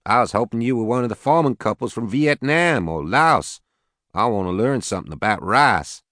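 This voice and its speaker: A man's voice: medium pitch, animated, moderate pace, dry recording, studio quality, pitched above the performer's own voice, lightly acted. A man doing a brash Southern farmer. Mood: boastful. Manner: folksy, swearing, loud. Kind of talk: audiobook character voice.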